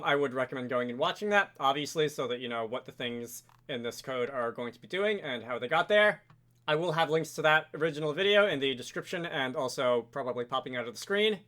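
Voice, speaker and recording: Bright-voiced man giving a casual coding tutorial. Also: a little high. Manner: explanatory, conversational, informal. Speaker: tutorial presenter.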